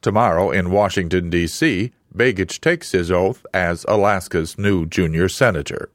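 A man reading a scripted radio news report in a measured, even newsreader delivery. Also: low-pitched, dry recording, clean studio sound.

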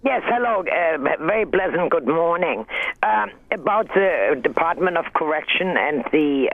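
A woman calling in to a radio show, talking in a rambling, emphatic way with a loud voice. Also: low voice, no echo. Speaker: caller. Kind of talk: call-in radio comment. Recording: tinny phone line.

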